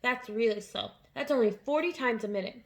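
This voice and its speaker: A woman voicing a clever, excitable young girl who cries out in breathless pleas. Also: a little high, fairly quick, close to an everyday voice. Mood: eager.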